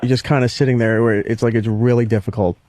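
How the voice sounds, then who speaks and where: Male show staffer, average pitch, dry sound, halting and on the defensive. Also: steady tone, darkish tone, no room sound. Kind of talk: casual on-air chat.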